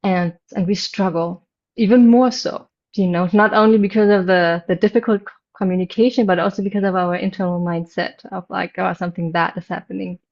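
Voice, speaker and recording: A woman's voice: mid-range voice, steady tone, a little fast. German-born woman guest, light German and deaf accent, reflective, slightly dull audio. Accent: light German accent blended with a deaf accent. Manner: reflective, gentle, with soft laughs. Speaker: interview guest, meditation teacher. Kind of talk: casual interview.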